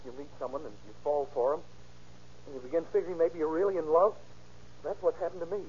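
A man's voice: fairly high pitch, a little mellow, natural voice. An actor playing an intense, slangy, lovesick young man in an old-time radio crime drama. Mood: resigned. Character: distraught, lovesick young man confessing to a shooting. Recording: dull, noisy vintage radio recording.

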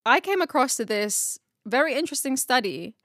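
A woman's voice: high-pitched, moderate pace, no echo. Woman chatting about bible study, slangy and giggly, dead dry mic. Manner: slangy, laughs often, animated. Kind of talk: casual Bible study chat.

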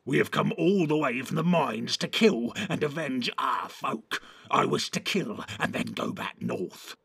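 Storybook orc soldier: harsh, hoarse-edged and tense, quarrelsome and impatient. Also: male voice, medium pitch, animated, measured pace, a bit bright, no echo, heavily acted. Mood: defiant. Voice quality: harsh, raspy. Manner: snappish, grumbling. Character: quarrelsome, bloodthirsty, impatient rank-and-file orcs. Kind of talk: audiobook character voice.

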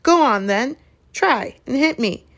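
A woman playing a nervous young boy, raised pitch, earnest, stubborn, storybook character. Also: animated, normal speed, close to an everyday voice. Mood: defiant, nervous. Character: timid, clumsy schoolboy nervously standing up to friends.

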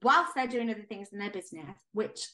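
A woman co-hosting a podcast in casual, enthusiastic chat, her voice going hoarse as she is losing it. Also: mid-range voice, lively intonation, fast talker, bright, forceful tone. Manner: enthusiastic, chatty. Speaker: podcast co-host.

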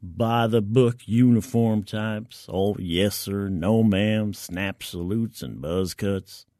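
A man's voice: on the low side, slow pace, warm tone, dry recording. Dry-sounding male sci-fi story narrator. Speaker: fiction narrator. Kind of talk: first-person scripted sci-fi narration in a colloquial soldier's voice.

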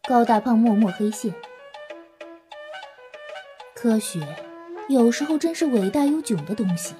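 A woman's voice: a little high, lively intonation, unhurried, warm tone, faint music behind. A woman reading scripted story narration for an audio drama.

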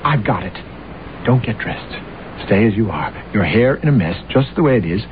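A man's voice: fairly low pitch, animated, normal speed, natural voice. A man playing a grandiose scheming husband. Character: scheming boastful male lawyer, grandiose husband. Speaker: radio drama actor. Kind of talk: 1970s radio drama acting. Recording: tinny hissy old radio broadcast.

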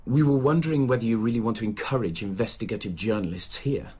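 Husky, earnest man raising objections. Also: lowish voice, quick pace, darkish tone. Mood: earnest, disapproving. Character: earnest, objecting male surgical assistant. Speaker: actor in a radio drama. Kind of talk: British radio drama acting.

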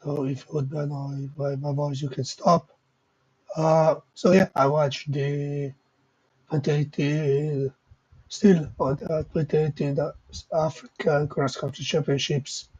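Slow, husky-voiced man recapping races. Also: fairly high pitch, little pitch movement, darkish tone. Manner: halting, many fillers and self-corrections. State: raspy voice. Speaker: vlogger recapping race results. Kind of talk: casual unscripted commentary. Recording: dull lo-fi mic.

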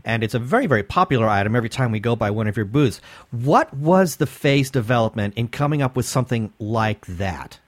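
A man's voice: medium pitch, animated, fairly quick. A man working as a webcast interviewer, asking questions in a casual, conversational style.

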